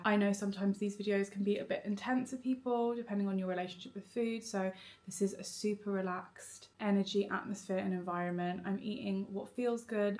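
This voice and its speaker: Chatty congested woman vlogging. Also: mid-range voice. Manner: chatty and relaxed, rambling with frequent "like". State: congested, bunged up nose. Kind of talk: chatty vlog talk to camera. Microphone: phone camera.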